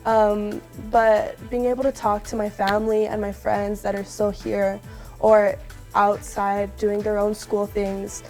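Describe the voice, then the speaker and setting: A woman's voice: medium pitch, little pitch movement, unhurried, a little mellow, faint music behind. A young woman from West Texas, a university student, answering interview questions earnestly and reflectively. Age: young adult. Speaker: alumna interviewee.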